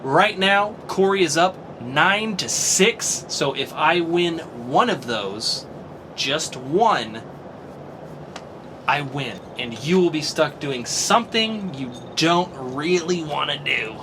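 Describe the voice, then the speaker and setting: A man's voice: a little high, animated, slow pace, noisy background. A man hosting a casual podcast, thinking aloud and swearing casually as he reasons. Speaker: podcast host.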